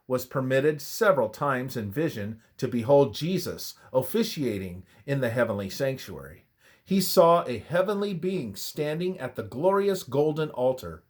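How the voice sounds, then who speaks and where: Male reader for scripted devotional narration, mid-pitched, measured and reverent delivery. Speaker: reader of a religious study.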